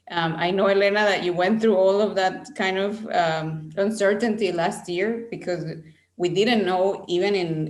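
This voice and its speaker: A woman hosting a webinar, speaking casually in hesitant, loosely phrased questions and remarks. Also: fairly low pitch. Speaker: webinar host. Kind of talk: casual spoken moderating. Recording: echoey room sound.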